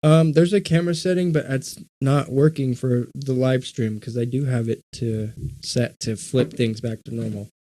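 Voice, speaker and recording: Young male co-host, slight rasp, loose, swears a lot, mid pitch. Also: steady tone, normal speed, hardly any pauses, warm tone, dry recording. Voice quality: slightly raspy smoker voice. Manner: loose, swears often, laughs at himself. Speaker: podcast co-host. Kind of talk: casual livestream chat.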